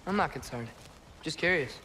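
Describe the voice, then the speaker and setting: An earnest teenage boy adventurer with a fairly high male voice. He sounds inquisitive and sincere, in game dialogue over light ambient noise. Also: expressive, moderate pace, pushed and bright, low-quality recording. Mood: curious. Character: earnest, curious teenage boy giant archer. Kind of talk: video game cinematic acting.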